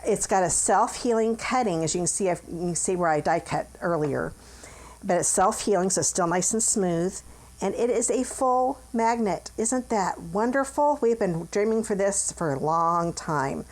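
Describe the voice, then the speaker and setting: A woman's voice: medium pitch, expressive, moderate pace. A middle-aged woman from the United States, hosting a chatty, upbeat craft tutorial from her home office and talking while she works. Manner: chatty, upbeat, talks while working with hands. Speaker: craft demonstrator and channel host. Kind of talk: friendly step-by-step craft tutorial.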